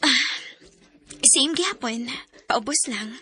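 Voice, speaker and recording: High, bright devoted young wife. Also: female voice, expressive, slow pace, a little hiss or hum, exaggerated voice. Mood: modest, casual. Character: devoted, patient, self-sacrificing young wife. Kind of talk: radio drama acting.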